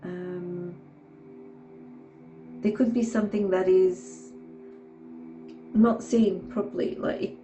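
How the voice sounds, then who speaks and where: A woman giving a tarot reading, hoarse from a sore throat, talking haltingly with many fillers and frequent "okay"s. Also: mid-range voice, mellow, rough recording. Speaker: tarot reader.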